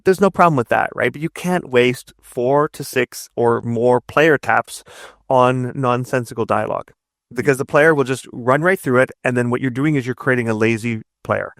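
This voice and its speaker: Male interview guest, mid-pitched, emphatic explainer who tags points with "right?", recorded dry. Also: moderate pace, a bit bright, no room sound. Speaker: guest narrative designer and game writer. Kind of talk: conversational interview advice.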